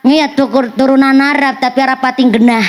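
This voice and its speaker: High-voiced woman preacher with a Banyumasan Javanese accent. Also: fast talker. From Central Java. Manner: animated and joking, switching between Javanese and Indonesian. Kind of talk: religious sermon.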